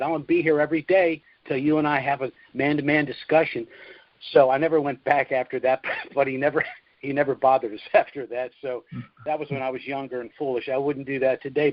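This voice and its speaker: A man's voice: mid-range voice, no room sound. Casual middle-aged man, dull lo-fi call sound. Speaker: host of a call-in conference. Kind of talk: casual chat and storytelling. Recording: dull, lo-fi conference call audio.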